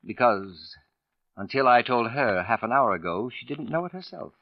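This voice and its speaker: An actor playing a suave, condescending writer and sleuth in a 1940s radio drama, speaking with pompous, theatrical precision. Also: male voice, medium pitch, expressive, moderate pace, no room sound, lightly acted. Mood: superior, revealing. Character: suave, condescending male writer turned shrewd accusing sleuth. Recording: dull vintage radio recording.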